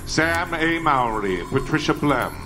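A man's voice: fairly high pitch, expressive, measured pace, sharp tone. Man announcing credits over music.

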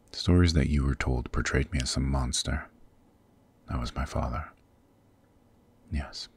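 Guy doing a super deep husky demon king, possessive but tender, formal intimate asmr roleplay. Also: exaggerated voice. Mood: gentle, reassuring, then somber. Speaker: voice actor.

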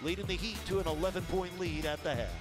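High-pitched male sports announcer, loud music. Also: fairly even intonation, fast. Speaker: play-by-play announcer. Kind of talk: sports broadcast commentary. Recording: loud music under commentary.

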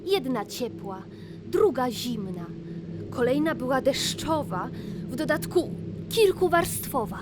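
Female storyteller for rhyming children's tale; very slow, higher-pitched delivery, prominent music underneath. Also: expressive, noisy background. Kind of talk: rhymed children's fairy-tale narration. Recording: music behind the voice. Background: loud music.

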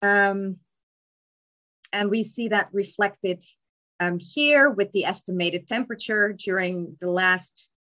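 Measured woman giving a slide lecture. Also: medium pitch, pushed and bright, highs cut off. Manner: measured, explanatory, with frequent ums. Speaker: palaeontology lecturer. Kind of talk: slide lecture on cave bears. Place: indoor room, remote talk. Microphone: webcam in a video call.

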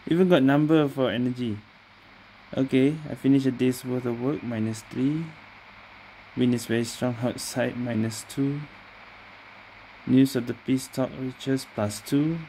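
A woman doing casual, low-key gameplay commentary, murmuring bits of text as she reads along. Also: steady tone, unhurried, dark tone, slight background noise, rough recording. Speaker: game streamer. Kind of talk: casual gameplay commentary, half reading aloud. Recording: faint game music behind.

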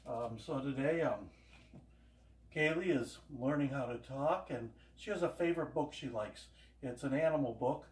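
A man's voice: medium pitch, moderate pace. An older man chatting casually while he cooks at home, telling rambling homespun stories, picked up by a camera across the kitchen. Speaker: home cooking vlogger. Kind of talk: casual cooking demonstration. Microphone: camera across the room. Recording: echoey kitchen, voice from far off.

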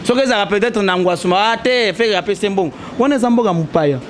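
Animated high-voiced young man arguing. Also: fast talker, slight background noise. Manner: animated, argues with rising emphasis, mixes French and Lingala. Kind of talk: heated casual debate. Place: small indoor studio with a painted wall. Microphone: handheld mic held toward him.

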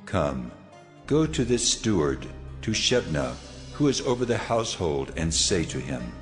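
An actor playing the stern, commanding voice of God in a solemn dramatized audio Bible. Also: male voice, sits low, very animated, a little slow, soft background music.

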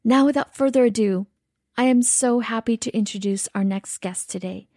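A woman's voice: fairly high pitch, moderate pace, warm tone, no room sound, clean studio sound. Woman reading a podcast intro. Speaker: podcast co-host. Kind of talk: scripted podcast intro read.

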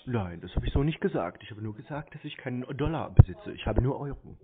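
Deadpan man on a tinny phone line. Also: medium pitch, quick pace. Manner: deadpan, laconic, provoking. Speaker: prank call recipient and YouTuber. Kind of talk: casual phone banter with scam callers. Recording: tinny narrow phone-call audio, noisy.

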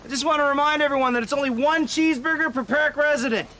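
A pompous new park supervisor. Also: male voice, very high-pitched, fairly even intonation, fast, sharp tone, a little hiss or hum, heavily acted, poor audio. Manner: blustery, self-important speechmaking. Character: pompous shirtless new park supervisor, middle-aged man. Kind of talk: mockumentary comedy acting. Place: outdoors at a trailer park picnic.

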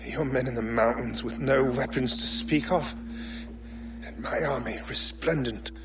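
A man's voice: mid-range voice, unhurried, noisy background, natural voice. An actor playing a proud, bitter commander who is dying, in a video game, his breath weak and fading. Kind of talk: video game drama acting.

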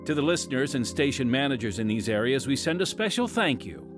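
A man's voice: medium pitch, sing-song, brisk. A man with an American accent hosting a scripted radio program, earnest and emphatic in a polished announcer's delivery. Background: music.

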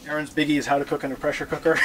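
A middle-aged man giving a relaxed, joking talk about sailing to an audience, standing aboard a catamaran in a marina with notes in hand. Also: a little high, rapid-fire, heavy background noise. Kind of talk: casual presentation to an audience. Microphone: far camera.

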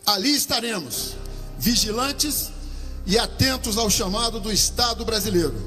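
Solemn military commander at a podium. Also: male voice, high voice, bright, forceful tone, big-room sound, faint music behind. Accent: Brazilian Portuguese. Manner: slow, solemn, declamatory. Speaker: army commander giving a ceremonial speech. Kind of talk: formal ceremonial speech. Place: outdoor parade-ground stand. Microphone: podium microphone.

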